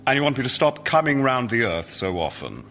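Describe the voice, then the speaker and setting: An actor voicing the Sun in a radio comedy sketch, politely incredulous and deliberate in manner. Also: male voice, mid-range voice, very animated, bright, forceful tone. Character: the Sun as a patient, politely incredulous older-brother figure.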